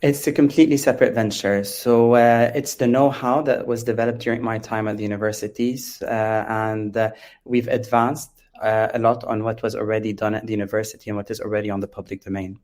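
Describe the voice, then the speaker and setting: The Lebanese-accented male founder you would hear as a guest on an industry interview, hesitant, low and level in pitch. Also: little pitch movement, a little mellow. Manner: hesitant, halting, with frequent long ums. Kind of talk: explanatory interview answers.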